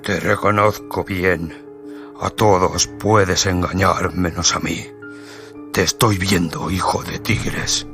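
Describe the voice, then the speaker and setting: Un hombre que interpreta a un domador de fieras cruel y amenazante en un radioteatro, dando órdenes. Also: male voice, low-pitched, steady tone, music in the background. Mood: menacing. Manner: commanding, threatening, deliberate. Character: menacing, cruel male circus beast tamer. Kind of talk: radio drama acting.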